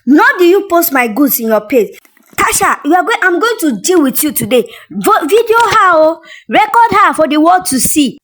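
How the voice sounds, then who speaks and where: A Nigerian woman voicing a furious fashion brand owner who loudly scolds and accuses a cheat. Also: expressive, a little fast, few pauses. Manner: loud, accusing, shrill scolding.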